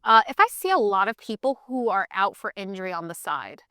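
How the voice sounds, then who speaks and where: A woman from Hawaii, raised speaking Pidgin, chatting casually as a podcast co-host. Her voice is bright and crisp, and she talks in a lively, opinionated way. The recording is close and dry. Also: mid-range voice, a little fast, no echo. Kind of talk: casual chat.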